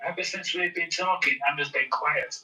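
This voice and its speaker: A man's voice: on the high side, moderate pace, bright, forceful tone. A man calling in to a live stream, joking and then signing off to everyone with good wishes. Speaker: caller. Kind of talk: casual call-in chat. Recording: echoey phone call from far off.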